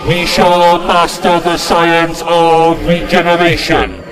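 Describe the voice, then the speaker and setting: A man playing a menacing, emotionless machine conqueror in a sci-fi audio drama, with slow, measured, literal pronouncements. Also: on the high side, monotone, rough recording. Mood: cold, grandiose. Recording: loud music underneath. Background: music.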